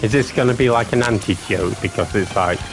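A man's voice: fairly quick, vocal fry, music in the background. A man, casually chatting with his live-stream viewers while gaming, playful and jokey. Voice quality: deep voice. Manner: playful, jokey, bursts into silly singing.

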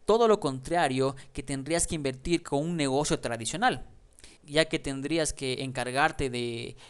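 Male tutorial narrator, clear resonant voice, friendly and direct with the viewer, close-mic voice-over. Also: mid-range voice, normal speed. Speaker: online business instructor.

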